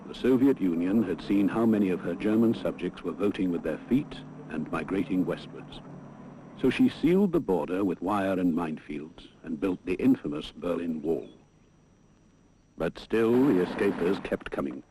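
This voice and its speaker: Deep-voiced British male film narrator, noisy soundtrack. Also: big pitch swings, normal speed, dark tone. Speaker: documentary narrator.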